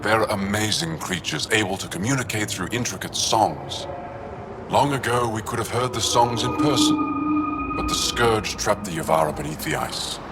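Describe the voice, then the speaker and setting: A man's voice: on the low side, huge pitch swings, moderate pace, vocal fry, exaggerated voice. A man playing a devoted alien researcher in a video game, explaining things with earnest, reverent expression. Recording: game ambience with vehicle noise.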